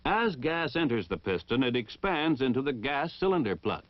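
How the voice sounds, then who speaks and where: An American man narrates in the formal, instructional style of a 1960 military training film. His medium-pitched voice moves with lively inflection and careful, precise enunciation. The sound is a slightly dull old film track with very little room in it. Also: moderate pace, dry recording.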